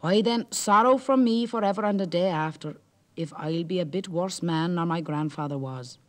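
Man doing an excitable fisherman. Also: sits high, moderate pace, natural voice. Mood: excited. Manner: exclaiming, fretful.

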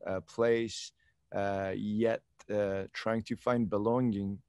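A man's voice: fairly low pitch, little pitch movement, slow, a little mellow, clear, resonant tone, no room sound. Earnest man on a video call, dry sound. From eastern Turkey, Kurdish region. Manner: earnest, searching for words, many ums. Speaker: film director, guest. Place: outdoors in a sunny garden. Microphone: video call laptop mic.

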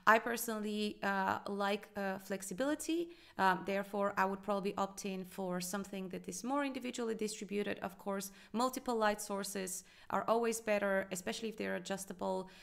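Woman consultant in her thirties, mid-pitched gravelly tone, halting chatty reactions at a desk mic. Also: a bit bright. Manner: hesitant, many fillers, thoughtful. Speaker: restaurant consultant reviewing a design. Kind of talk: casual reaction commentary.

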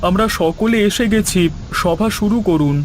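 A man's voice: sits high, normal speed, slight background noise, close to an everyday voice. A man playing a resolute, reassuring village man in a fairy tale cartoon. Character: calm, resolute village man, Kishore the elder brother.

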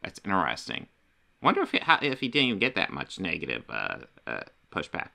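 Man, gravelly, bright timbre, wry, exasperated, livestream co-host, home setup. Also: sits low, wildly swooping pitch, fairly quick. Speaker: podcast co-host. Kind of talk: casual livestream commentary.

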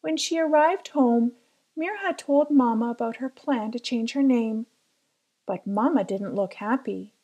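A woman reading a children's picture book aloud as careful, expressive storytelling. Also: fairly high pitch, unhurried. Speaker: storybook reader.

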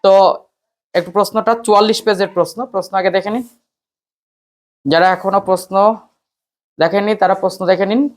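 A man's voice: high voice, fairly even intonation, brisk, pauses often. A man talks students informally through an exam paper as their teacher, announcing item by item in a repetitive way.

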